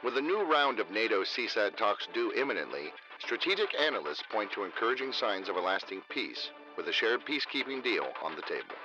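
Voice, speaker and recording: A formal news anchor in a game, animated and punchy, lo-fi broadcast sound with music behind. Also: male voice, fairly low pitch, fairly quick, hardly any pauses. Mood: formal, composed. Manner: formal, polished newsreading. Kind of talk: scripted news bulletin.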